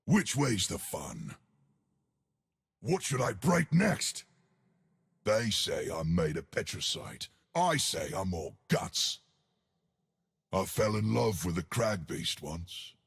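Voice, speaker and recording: An actor playing a huge, ancient living stone colossus for a video game, with a gravelly, husky voice and hearty, simple-minded bravado. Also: male voice, on the low side, very animated, takes it slowly, pauses often, full character voice. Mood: proud, amiable. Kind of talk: video game character voice lines.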